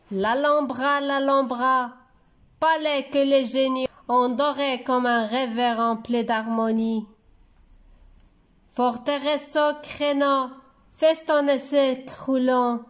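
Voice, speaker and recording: Female poetry reader for a school lesson: high voice, extremely slow, deliberate phrasing. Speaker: teacher reading a poem for pupils. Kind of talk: slow poetry recitation for dictation and reading lesson.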